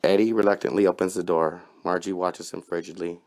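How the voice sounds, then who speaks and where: A man's voice: deep voice, fairly even intonation, a little fast, darkish tone. A man narrating a horror tale in a theatrical, wry storytelling style. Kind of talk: dramatic scripted horror storytelling.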